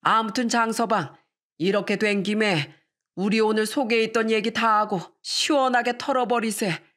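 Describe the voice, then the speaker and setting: A woman's voice: on the high side, fairly even intonation, normal speed, fairly bright tone, pitched above the performer's own voice, close to an everyday voice. A woman voicing a doting, sentimental father-in-law of about seventy in a story, in a folksy, fatherly way. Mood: fond, conciliatory. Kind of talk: scripted story dialogue.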